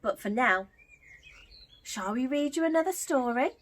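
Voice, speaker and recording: Slow, animated, high-voiced woman storyteller. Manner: addresses young children, theatrical. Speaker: children's party entertainer and storyteller. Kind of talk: expressive children's storytelling.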